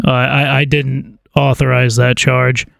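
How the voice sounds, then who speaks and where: A man's voice: medium pitch, flat delivery, a little slow, creaky, a bit bright, no room sound, clean studio sound. A man making a prank call, talking casually and informally with a hesitant stammer. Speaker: prank caller. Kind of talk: casual phone conversation.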